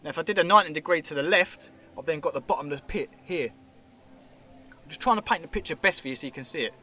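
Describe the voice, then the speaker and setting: A man's voice: a little high, fast talker, bright, forceful tone. A man giving rambling, speculative commentary while filming with a handheld camera in an underground cave. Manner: chatty, rambling, speculative, points things out. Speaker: vlogger exploring a show cave.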